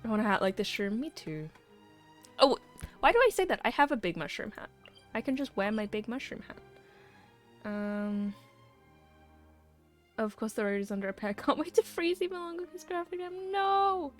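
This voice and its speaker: Animated young woman streaming a video game. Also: fairly high pitch, moderate pace, long pauses. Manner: playful, joking, mock-dramatic asides. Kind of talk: casual live gameplay commentary and chat. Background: faint to clear game music.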